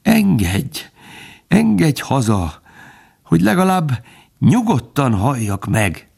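A man's voice: low voice, expressive, a little mellow, husky. A man voicing a wounded, dying storybook fox, drawing out words in a pained, humble or bitterly contemptuous way. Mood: weak pleading. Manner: drawn-out, pained, hissing and pleading.

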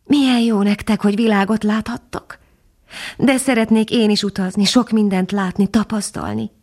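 A woman's voice: mid-range voice, fairly even intonation, darkish tone, pitched above the performer's own voice, close to an everyday voice. A woman voicing an eager, naive young male house dog in a storybook, earnest and wide-eyed. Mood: wistful. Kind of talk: storybook character voice.

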